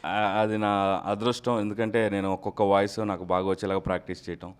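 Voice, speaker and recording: A man's voice: on the low side, level tone, fairly quick, clean tone. A man speaking conversationally as an interview guest, explaining his work. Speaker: guest, mimicry artist.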